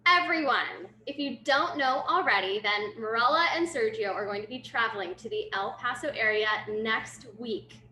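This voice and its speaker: A woman's voice: fairly high pitch, moderate pace, few pauses, pushed and bright. A woman interviewing two dancers, enthusiastic and full of praise. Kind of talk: friendly interview questions. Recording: echoey room with faint noise.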